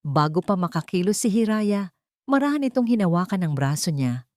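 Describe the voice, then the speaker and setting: A woman's voice: lowish voice, animated, moderate pace, a little mellow, dry recording, studio quality. A Filipino woman reading a scripted dramatic story as its narrator.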